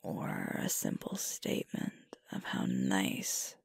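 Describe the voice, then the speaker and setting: A woman's voice: very deep voice, big pitch swings, dry recording, full character voice. A woman playing a sweet, sleepy, affectionate girlfriend in an intimate ASMR roleplay, murmuring tenderly close to the microphone. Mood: affectionate, gently teasing. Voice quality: breathy, whispery. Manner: slow, drowsy, tender murmuring. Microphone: close microphone, dry.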